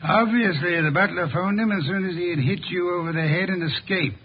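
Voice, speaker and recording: An actor playing an eccentric, pompous middle-aged detective in a 1950s radio drama, speaking in precise, formal diction. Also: male voice, high-pitched, fairly quick, breathy edge. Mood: explaining, assured. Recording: muffled vintage 1950s radio recording.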